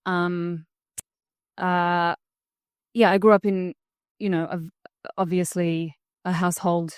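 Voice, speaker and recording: A woman's voice: fairly low pitch, clear, resonant tone, dry recording, clean studio sound. A woman who grew up in Australia, answering on a conference panel in a loose, hesitant way with many fillers. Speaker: panel speaker. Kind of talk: casual spoken answer. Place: conference hall.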